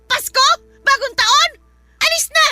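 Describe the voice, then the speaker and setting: A woman's voice: extremely high pitch, unhurried. A woman playing a spiteful, accusing middle-aged aunt in a Filipino radio drama, scornful and berating. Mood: scornful rage.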